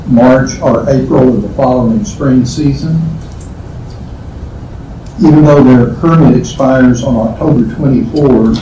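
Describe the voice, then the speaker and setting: A man's voice: mid-range voice, warm tone. An American man giving a formal procedural report to a committee as a staff member. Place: indoor meeting room, picked up from afar. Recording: echoey meeting room, distant, noisy.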